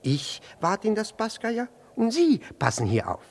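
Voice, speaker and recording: An actor in a German radio play voicing a jealous, evasive husband with a husky voice, stammering, repeating himself and rambling with excessive politeness. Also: male voice, high-pitched, huge pitch swings, moderate pace, exaggerated voice. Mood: nervous, pleading. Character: flustered, evasive jealous husband posing as a bachelor.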